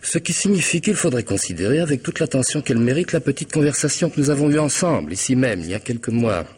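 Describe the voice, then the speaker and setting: A man's voice: mid-range voice, expressive, fast talker, darkish tone, slight background noise, lightly acted. An actor playing a cunning, mocking hitman in a radio mystery, with theatrical irony and precise, formal diction. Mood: coldly assured. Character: cunning middle-aged hitman, smug, mocking, methodical blackmailer. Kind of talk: French radio mystery drama acting.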